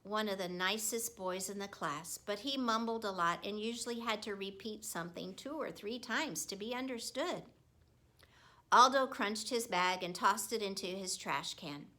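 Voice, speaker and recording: A woman narrating a children's storybook like a schoolteacher, expressive and deliberate. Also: mid-range voice. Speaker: teacher reading a story.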